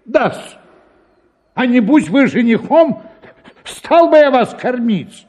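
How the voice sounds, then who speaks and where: Pushy dad in radio drama, slow n mellow but swoops all over, coaxing n exclaiming. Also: male voice, very high voice, very animated, exaggerated voice. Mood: indignant, demanding. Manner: expansive, cajoling, exclamatory, repeats words. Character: pushy, wheedling father bent on marrying off his daughter. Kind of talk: theatrical radio performance of a classic Russian story.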